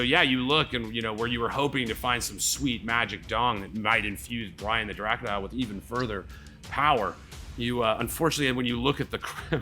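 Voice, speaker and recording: A man's voice: mid-range voice, bright, forceful tone. A man improvising narration as the game master of a live tabletop game, casual, slangy and jokey.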